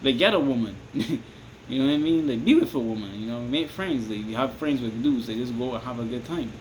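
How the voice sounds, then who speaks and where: A man with a Barbadian accent talks loosely and at a middling pitch, circling back on himself and checking in with the listener. A steady hiss and air-conditioner hum sit under his voice. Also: a little fast. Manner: rambling, repeats "you understand me?", restarts often. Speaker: vlogger giving advice. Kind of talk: casual advice monologue to camera.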